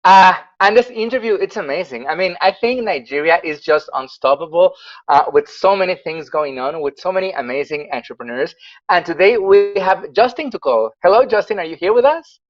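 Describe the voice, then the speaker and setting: Chatty interview host, dull call audio. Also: male voice, sits high, expressive, fairly quick. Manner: friendly, chatty, laughs often, stumbles over phrasing. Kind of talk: casual remote interview.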